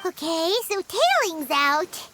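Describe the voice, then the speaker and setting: A woman voicing a tiny, childlike, excitable fairy companion in a video game, squeaky and eagerly expressive. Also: slow pace, slight background noise, full character voice. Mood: puzzled. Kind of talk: English video game voice acting.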